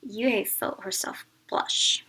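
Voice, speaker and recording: A woman teacher reading a picture book aloud, narrating and pausing to explain it to young listeners. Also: mid-range voice, bright, forceful tone, husky, whispered, low-quality recording.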